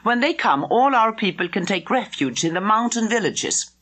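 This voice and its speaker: A woman's voice: medium pitch, lively intonation, normal speed, a bit bright, voice pushed up. A woman voicing a direct, decisive missionary woman in an audiobook. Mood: urgent. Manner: direct, firm.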